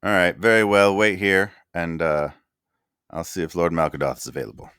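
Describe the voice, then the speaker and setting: A man's voice: deep voice, moderate pace, fairly bright tone, clear, resonant tone, dry recording, clean studio sound. A man voicing a polite, formal male court minister in a tabletop game, speaking courteously with small hesitations. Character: polite stiff male minister, middle-aged.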